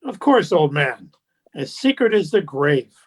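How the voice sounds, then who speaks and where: A man acting as a suave, insincere gentleman in a radio drama. He speaks very slowly with affected politeness, his pitch sliding up and down in smug, teasing curves. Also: sing-song, slightly rough, full character voice. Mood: falsely reassuring.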